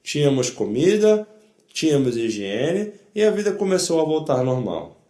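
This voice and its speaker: An older man tells his life story as personal testimony. His voice sits fairly high, and he speaks in short, plain sentences, often repeating himself. The room gives the recording an audible echo. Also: sing-song, normal speed, warm tone. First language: not Portuguese. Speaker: Holocaust survivor giving testimony. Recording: echoey room.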